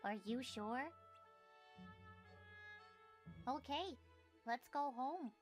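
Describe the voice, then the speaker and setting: A woman voicing a small, loyal and protective spirit companion in an animated game fandub, with music underneath. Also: high-pitched, slow pace. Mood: gentle, worried.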